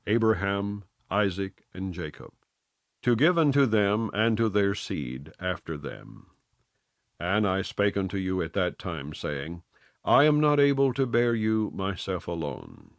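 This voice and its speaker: A man reads scripture in slow, solemn phrases, each line set apart. His voice sits fairly low but moves widely in pitch. The sound is close and dry, with no room around it. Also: very animated, dry recording. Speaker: Bible reader.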